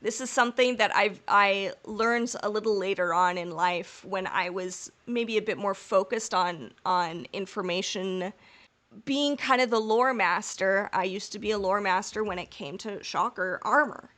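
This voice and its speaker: A woman's voice: medium pitch, unhurried, fairly bright tone. A woman co-hosting a podcast in casual chat. She talks in long, rambling, candid stretches, swears freely and laughs through her own jokes. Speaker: podcast co-host.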